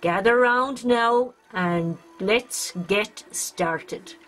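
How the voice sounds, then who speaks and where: A woman's voice: a little high, extremely slow, a bit bright, pitched above the performer's own voice. A woman voicing a hearty male leprechaun king with a rallying, commanding manner in a storybook tale. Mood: cheery, rallying. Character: hearty old-world leprechaun king, brave male leader. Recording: music under the voice.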